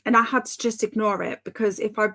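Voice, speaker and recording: A woman's voice: medium pitch, fairly even intonation, fast talker, a bit bright. A woman hosting a livestream, chatting casually and reading out comments, rambling with fillers, self-corrections and light laughs. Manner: laughs lightly between remarks. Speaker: livestream host of reading sprints; mother.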